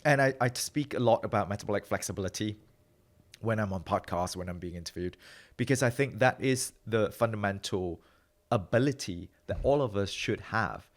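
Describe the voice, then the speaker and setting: A man in his thirties from Malaysia, with a Malaysian-British accent that carries Midlands traces, speaking as a guest researcher in a reflective, animated way. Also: fairly low pitch. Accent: Malaysian-British English with Midlands traces. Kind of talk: conversational interview.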